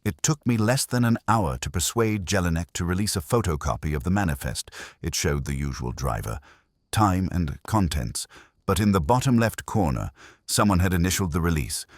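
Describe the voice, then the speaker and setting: A man's voice: deep voice, animated, normal speed, no room sound. A man narrating a first-person detective audiobook in a wry, hardboiled manner.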